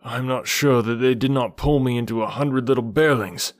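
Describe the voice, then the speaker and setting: A man voicing a big, gentle old bear in a storybook, with a plaintive, affectionate manner. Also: medium pitch, normal speed, no room sound, studio quality, pitched above the performer's own voice, lightly acted. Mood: sore, grateful.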